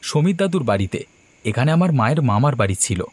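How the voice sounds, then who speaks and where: An actor playing a polite, uneasy young man visiting a village in an animated horror story. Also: medium pitch, fast, breathy edge.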